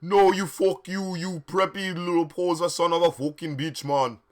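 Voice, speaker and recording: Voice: medium pitch, steady tone, normal speed, close to an everyday voice. A performer voicing a sullen teenage male goth vampire who spits insults in a disgusted manner.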